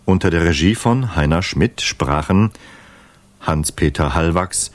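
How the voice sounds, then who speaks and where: A man's voice: sits low, animated, a little slow. A man reading formal scripted closing credits as a radio announcer.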